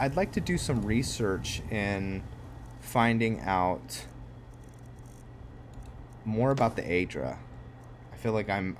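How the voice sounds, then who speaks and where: Low-pitched man, unhurried gaming commentary. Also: a little hiss or hum. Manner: hesitant, thinking aloud. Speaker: gaming video host. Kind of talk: casual gameplay commentary. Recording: background music under close commentary.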